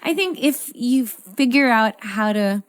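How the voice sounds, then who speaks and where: A woman's voice: a little high, animated, measured pace, dry recording. A woman in her thirties with a Filipino accent, hosting a casual chat and playfully switching between English and Tagalog. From Philippines. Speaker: podcast host.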